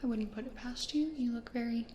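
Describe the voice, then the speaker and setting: A woman voicing a gentle, awkward, old-fashioned plant-loving ghost in an ASMR roleplay, soft, formal and half-whispered, in a roomy recording. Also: fairly high pitch, fairly quick, natural voice. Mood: shy, musing. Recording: roomy echo, faint noise.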